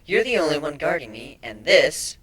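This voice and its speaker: A man's voice: fairly high pitch, big pitch swings, normal speed, fairly bright tone, breathy edge, pitched above the performer's own voice, full character voice. A man voicing a sarcastic, defiant female changeling held captive in a dramatic story reading, with a biting, exasperated delivery.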